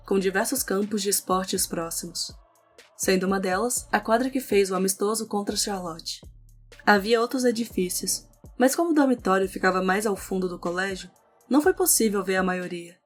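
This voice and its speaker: Brazilian woman narrating over music. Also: medium pitch. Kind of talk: scripted audio novel narration. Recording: music under the voice.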